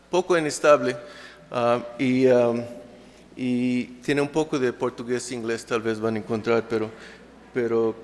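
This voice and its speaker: A man giving an academic conference talk in a language that is not his first, with frequent fillers and restarts. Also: medium pitch. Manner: hesitant, many fillers and restarts; speaking a second language. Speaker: conference speaker. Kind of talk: academic presentation. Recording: reverberant lecture hall.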